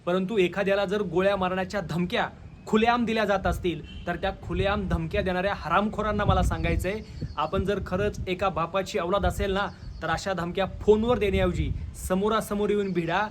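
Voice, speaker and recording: A man's voice: sits high, a little hiss or hum. A man with a Marathi accent from Maharashtra delivers confrontational, rhetorical opinion commentary straight to his viewers. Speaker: video commentator, channel host.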